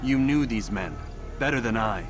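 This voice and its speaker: An actor playing a stern, proud young assassin in a video game, terse and resolute. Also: male voice, mid-range voice, expressive, normal speed, music in the background, natural voice. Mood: earnest, firm. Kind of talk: video game cutscene acting.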